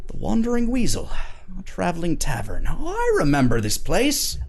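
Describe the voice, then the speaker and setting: Man playing a polite, folksy, gentle manservant. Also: high voice, wildly swooping pitch, creaky, a little hiss or hum, pitched above the performer's own voice, heavily acted. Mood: friendly, encouraging. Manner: deferential, halting, kindly encouragement. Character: polite, gentle, folksy male household servant.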